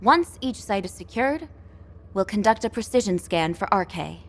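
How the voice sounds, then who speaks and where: A woman's voice: mid-range voice, lively intonation, normal speed. A woman playing an earnest young military operations officer in a sci-fi video game, speaking formally and precisely. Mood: composed, serious. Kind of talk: video game briefing dialogue.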